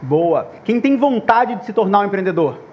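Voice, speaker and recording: A man moderating a conference panel on stage, lively and chatty, with frequent fillers and self-corrections. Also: high voice, normal speed, lo-fi sound.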